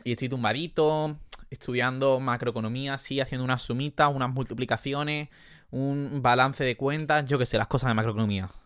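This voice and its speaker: A young man with an Andalusian accent hosting a casual solo podcast from a bedroom, chatty and self-mocking, quick to laugh. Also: medium pitch, fairly even intonation, a bit bright, clean tone, dry recording, studio quality. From Andalusia, Spain. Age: young adult. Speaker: podcast host. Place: his sister's bedroom.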